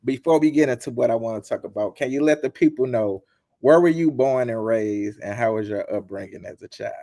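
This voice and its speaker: Gravelly guy hosting an interview, chill and easygoing, dry sound. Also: medium pitch, brisk, dark tone, no room sound. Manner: laid-back, many "uh" fillers, short affirmations. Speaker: interview host.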